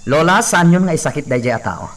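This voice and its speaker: A menacing gunman in a radio drama. His voice is fairly high for a man and swings widely as he threatens and orders, with music behind him. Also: expressive, fast, fairly bright tone. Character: menacing armed man hunting a fugitive. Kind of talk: Ilocano radio drama acting.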